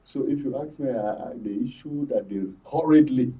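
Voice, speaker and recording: A man with a Nigerian accent giving conversational political commentary as a guest, in a halting way, searching for his words. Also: medium pitch. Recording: muffled, far from microphone.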